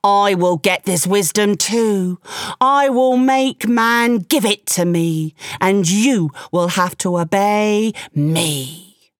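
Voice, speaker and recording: A haughty tiger king from a storybook, drawing out every demand slowly and loudly, as a woman puts him on. The delivery is unhurried and the recording dry. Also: mid-range voice, big pitch swings, dry recording. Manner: slow, commanding, growling demands. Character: proud, bossy male tiger, king of the forest.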